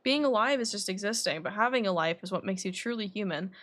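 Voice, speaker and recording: A woman voicing a composed, scholarly young male alchemist from a video game, reading his dialogue in a measured, formal, reflective way. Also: mid-range voice, animated, a bit bright, slight background noise, lightly acted.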